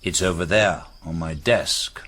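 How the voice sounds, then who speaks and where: A man's voice: deep voice, a little slow, bright, forceful tone, heavily acted. A man playing a wry, commanding aristocratic British general in a historical radio drama, clipped and formal. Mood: wry, brisk.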